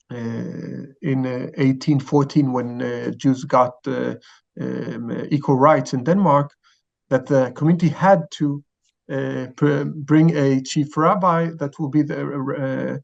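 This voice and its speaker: A man's voice: medium pitch, creaky, a little mellow, muffled recording. A man in his forties with an Israeli accent, explaining things as an interview guest, hesitant and often searching for words. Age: 40s. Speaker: chief rabbi, interview guest. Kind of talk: informal interview answers, explanatory.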